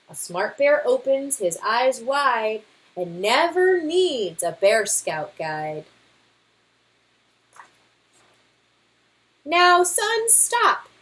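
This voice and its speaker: Woman voicing a boastful storybook papa bear, animated. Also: high voice, pitched above the performer's own voice, heavily acted, low-quality recording. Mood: pompous, boastful. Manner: pompous, emphatic, singsong rhyming delivery. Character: boastful, stubborn father bear, big know-it-all. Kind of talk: children's picture book read-aloud.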